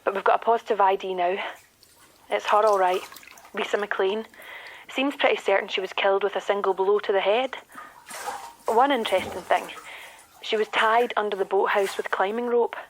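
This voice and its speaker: An actress playing a brisk, friendly detective constable in a radio drama, with a clipped, informal way of talking. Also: female voice, mid-range voice, fairly even intonation, a little hiss or hum, lightly acted, rough recording. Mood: pleased, businesslike.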